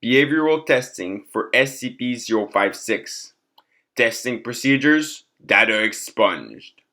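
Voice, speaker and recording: A man narrating a scripted clinical report in a formal, measured way. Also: medium pitch, expressive, sharp tone.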